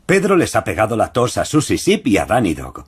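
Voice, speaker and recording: A man's voice: on the low side, wildly swooping pitch, brisk, noisy background. A man acting as a friendly storyteller, narrating a preschool cartoon with a Castilian Spanish accent. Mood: cheerful narrating. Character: friendly male storyteller narrating for small children.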